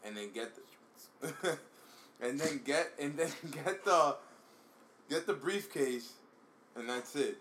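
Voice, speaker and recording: A man with a bright, forceful voice chatting loosely, often restarting and trailing off mid-phrase. A faint noise sits underneath. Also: fairly high pitch, lively intonation, slow pace, pauses often. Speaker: podcast co-host. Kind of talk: casual chat.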